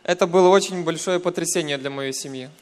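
Young man, Ukrainian accent, smooth resonant tone, slightly high pitch, halting church testimony. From western Ukraine. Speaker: guest giving a church testimony. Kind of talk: personal testimony with pauses for an interpreter. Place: church, speaking to a congregation.

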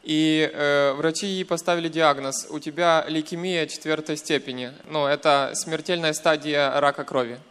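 A young man from western Ukraine with a Ukrainian accent giving a personal testimony in church, in short hesitant segments with pauses. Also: a little high, steady tone, fairly bright tone, smooth. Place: church, speaking to a congregation.

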